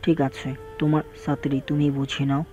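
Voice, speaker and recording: A man's voice: medium pitch, level tone, moderate pace, a little mellow, soft background music, lightly acted. A man playing an older university principal and stern father, speaking formally and with authority.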